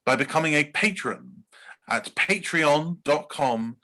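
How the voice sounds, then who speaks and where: Bright-voiced English man reading podcast script. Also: fairly high pitch, animated, slow pace. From Bedfordshire, England. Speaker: podcast guest, composer and podcaster. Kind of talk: scripted podcast narration, read in takes.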